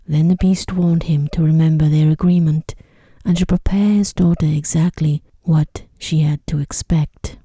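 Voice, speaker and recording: A woman reading a sleep story in a soft-spoken ASMR style, with a gentle, hushed, unhurried delivery. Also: sits low, steady tone, breathy edge, no echo.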